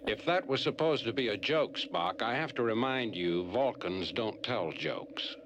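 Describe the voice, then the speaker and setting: Gruff, low-voiced cartoon ship's doctor. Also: male voice, expressive, unhurried. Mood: wry, grumbling. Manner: gruff, teasing complaint. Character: grumbling, wry ship's doctor, a grown man. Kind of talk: animated science fiction series acting. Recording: noisy old cartoon soundtrack.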